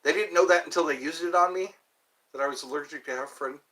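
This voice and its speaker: A man's voice: on the high side, fast talker, pushed and bright, low-quality recording. A man in his fifties hosting a livestream, chatting casually with viewers in a rambling, joking, self-deprecating way. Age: 50s. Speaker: livestream host. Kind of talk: casual chat answering viewers.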